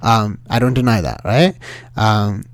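A young man from Sri Lanka telling a story in casual conversation, animated and rambling, with many fillers and restarts. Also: fairly low pitch, a little slow, no room sound.

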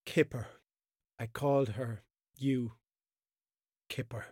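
A man's voice: mid-range voice, fairly even intonation, slow, a little mellow, slightly rough, dry recording, studio quality. A man playing a frightened captive in a radio drama, with an agitated, defensive, pleading delivery. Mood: shaken, defensive.

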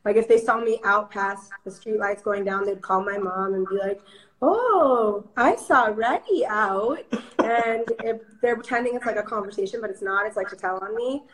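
A woman in her thirties with a Minnesotan accent, a lively guest who talks in casual slang. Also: mid-range voice, normal speed, poor audio. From Iowa, USA. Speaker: guest, media founder. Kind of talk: casual interview.

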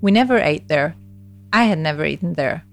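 A woman with a slightly low voice reads a translated testimony. Her delivery is plain and even, with music audible beneath her. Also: brisk, creaky. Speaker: voice-over interpreter. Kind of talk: translated testimony read aloud. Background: music bed.

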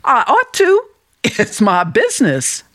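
An actress playing a matter-of-fact, chatty small-town telegraph clerk in a radio play, dry in manner. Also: female voice, fairly high pitch, wildly swooping pitch, slow pace, fairly bright tone, exaggerated voice. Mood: amused, dry.

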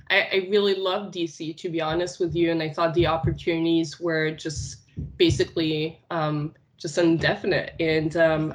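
A woman from Tunisia whose first language is Arabic, answering interview questions in a casual, unhurried way with many filler sounds. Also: fairly low pitch, poor audio. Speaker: finance recruiter, podcast guest.

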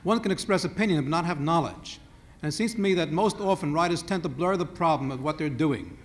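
A man's voice: fairly high pitch, brisk. A man responding at a panel discussion, precise and argumentative, carefully drawing distinctions. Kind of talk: analytical debate remarks.

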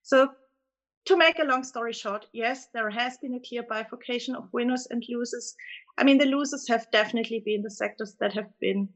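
A woman's voice: a little high, fairly quick, a bit bright, rough recording. A woman with a German accent, a guest analyst explaining dividend trends in a conversational interview, fluent with frequent fillers.

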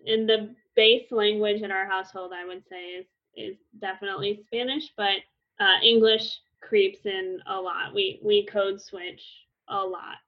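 Woman, 30s, Spanish teacher, easygoing chat with plenty of ums, mid-pitched, slightly dull lo-fi sound. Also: measured pace. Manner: relaxed, with frequent fillers and restarts. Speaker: Spanish instructor. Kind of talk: casual conversational explanation. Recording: slightly muffled lo-fi recording.

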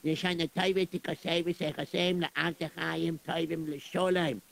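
A bumbling male servant, played by a man, who stammers and hunts for his words. His voice sits a little high with a creaky, gravelly edge. He sounds like a muddled character from an amateur radio play. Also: level tone, moderate pace, fairly bright tone.

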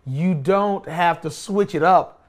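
A man's voice: high-pitched, slow. A man in casual conversation on a gaming podcast, talking emphatically and often repeating phrases to make his point. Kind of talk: casual chat while playing a game.

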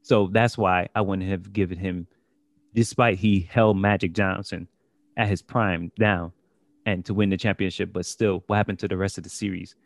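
A man's voice: low voice, steady tone, normal speed, vocal fry, no echo. A man in a casual sports discussion, speaking as a podcast panelist.

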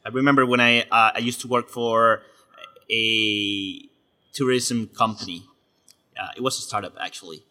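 A man hosting a podcast who introduces the episode and interviews his guest with an upbeat, energetic delivery. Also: lowish voice, moderate pace. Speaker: podcast host.

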